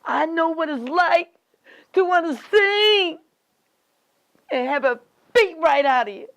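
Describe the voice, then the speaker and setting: Woman acting a high, creaky, long-suffering Southerner. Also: slow. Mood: tearful, grateful. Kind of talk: dramatic stage monologue.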